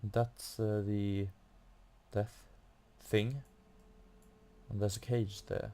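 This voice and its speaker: Slow, halting male gamer. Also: on the low side, little pitch movement, mellow. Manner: halting, thinking aloud. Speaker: video game player making a playthrough. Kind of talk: casual game commentary.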